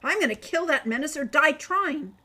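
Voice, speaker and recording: A woman's voice: high-pitched, animated, normal speed, voice pushed up. Woman playing a bold, resolute teenage boy hero. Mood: firm resolve. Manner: forceful, resolute. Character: bold, determined sixteen-year-old boy hero.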